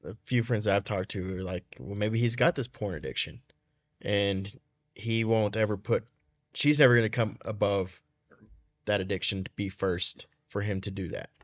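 A man's voice: on the low side, normal speed, no room sound. A man co-hosting a podcast in casual chat, hesitant, restarting with "I mean". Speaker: podcast co-host. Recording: dull lo-fi sound.

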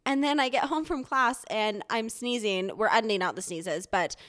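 A woman's voice: fairly high pitch, moderate pace, fairly bright tone. A young woman co-hosting a podcast, chatting casually and telling stories full of "like" and "um" while she is sick and congested. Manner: chatty, storytelling with lots of likes and ums. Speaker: podcast co-host. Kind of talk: casual chat.

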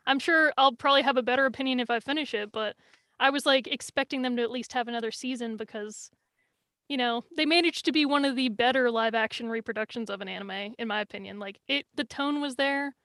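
Lady guest on a podcast, pretty high voice, casual chat, no echo. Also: fast, fairly bright tone, clean studio sound.

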